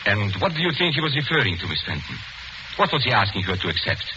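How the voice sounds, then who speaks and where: A confident, courtly magician-detective in a 1940s radio drama. His delivery is deliberate and theatrical, with very animated pitch. The old recording is muffled and full of noise. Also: exaggerated voice. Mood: probing. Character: male master illusionist sleuth, suave, confident, unmasking a killer. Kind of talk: 1940s radio mystery acting.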